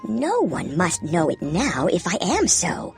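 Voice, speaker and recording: A woman's voice: lowish voice, huge pitch swings, measured pace, creaky, heavily acted. A woman voicing a faithful old wise man, an anxious royal adviser in a storybook, fretful and self-questioning. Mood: worried. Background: background music.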